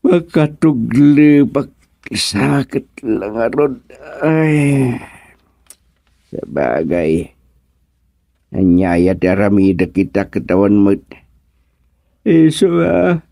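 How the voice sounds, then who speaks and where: A man's voice: mid-range voice, vocal fry, dark tone, no echo, clean studio sound. A man playing a comic, grumbling village man in an Ilocano radio serial, muttering and full of exclamations.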